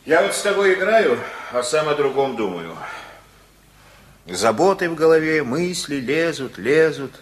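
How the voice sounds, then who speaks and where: An actor in a radio play playing an aging, once gruff father, now softened and wistful, who rambles reflectively in folksy phrases. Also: fairly high pitch, very animated, measured pace, a little hiss or hum. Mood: musing, weary. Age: older.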